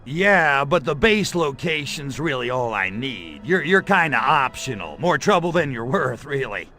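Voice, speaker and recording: Cocky, sharp-voiced alien invader. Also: male voice, mid-range voice, very animated, unhurried, faint music behind. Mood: sarcastic, irritated. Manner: sarcastic, swaggering, slangy delivery. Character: cocky, wisecracking male alien invader, impatient and menacing. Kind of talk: video game cutscene voice acting.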